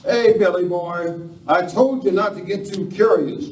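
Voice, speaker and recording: Male actor as veteran outlaw, high voice, slow folksy delivery, Western radio play in a roomy, echoing space. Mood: knowing, cautioning. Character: seasoned male outlaw hand, gruffly advising the kid. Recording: echoey hall, distant mic, faint noise.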